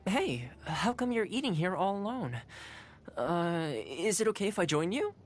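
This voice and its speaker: A woman's voice: on the low side, expressive, moderate pace. A woman voicing a cheerful, caring young male housekeeper in a video game, friendly and earnest. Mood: friendly, chatty. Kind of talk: video game character voice lines.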